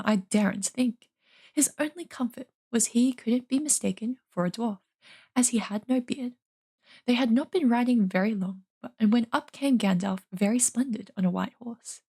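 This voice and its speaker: A woman's voice: a little high, dark tone, slightly rough. A woman reading a storybook aloud as an informal narrator. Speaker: audiobook reader, streamer.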